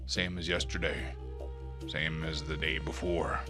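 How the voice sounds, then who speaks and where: Leering burned giant played by a man, very low, twitchy menace, bitter drawl, tabletop fantasy over music. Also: flat delivery, a little slow. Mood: bitter grumbling.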